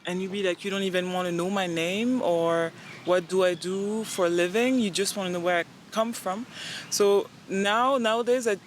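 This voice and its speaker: A woman's voice: mid-range voice, moderate pace, a little hiss or hum. A young woman from Central African Republic, a native French speaker with a French accent, talking earnestly in a casual interview and acting out bits of dialogue. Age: young adult. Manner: earnest, animated, acts out quoted dialogue. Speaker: interview guest.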